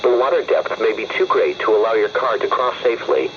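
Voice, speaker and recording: A man's voice: medium pitch, steady tone, fairly quick, creaky. A synthetic man's voice reading a scripted weather alert over the radio in a formal, impersonal bulletin manner. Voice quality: synthetic text-to-speech voice. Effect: weather radio broadcast. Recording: dull lo-fi radio with faint noise.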